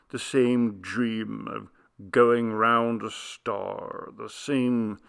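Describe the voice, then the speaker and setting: A man voicing a frightened, anguished man in a ghost story audiobook, speaking slowly and haltingly. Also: vocal fry, pushed and bright, smooth, full character voice. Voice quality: breaking, low, trembling voice. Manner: halting, slow, haunted. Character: anguished, frightened man confessing a dreadful secret.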